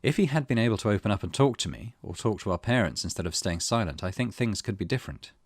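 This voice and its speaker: A man's voice: fairly low pitch, expressive, fast, no room sound, clean studio sound. A man voicing an earnest, plain-spoken female manager, reading her interview quotes from a visual novel. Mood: sincere, concerned.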